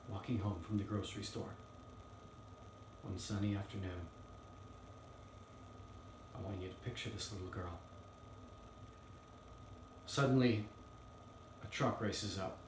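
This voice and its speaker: Male actor as earnest young trial lawyer addressing a jury; low-pitched, solemn, halting stage monologue, roomy sound. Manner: solemn, halting, with weighty pauses. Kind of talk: dramatic courtroom monologue performance. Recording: roomy, distant mic, faint noise.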